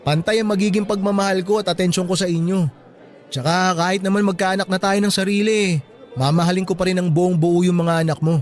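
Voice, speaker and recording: A man's voice: high voice, natural voice. A man with a Filipino accent playing an affectionate, playful boyfriend in a radio drama. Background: background music.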